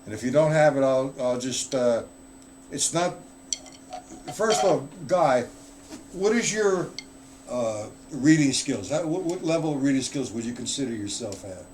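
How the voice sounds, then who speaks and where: A man's voice: mid-range voice, animated, a little slow, a little mellow, a little hiss or hum. A man giving a casual live-streamed drum lesson, explaining rudiments in a loose, chatty way and answering viewers in the chat. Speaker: drum teacher.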